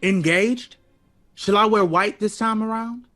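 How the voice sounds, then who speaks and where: A man's voice: medium pitch, expressive, moderate pace, faint music behind, close to an everyday voice. A man playing a bitter, sarcastic, long-overlooked jazz composer in an audio drama. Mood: mocking, angry. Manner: sarcastic, aggrieved. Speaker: actor.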